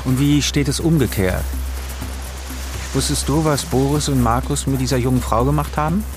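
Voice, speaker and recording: A man's voice: medium pitch, moderate pace, music in the background, natural voice. An actor playing a vengeful man who taunts and accuses in an audio drama, with a cold, insistent manner. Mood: cold, taunting.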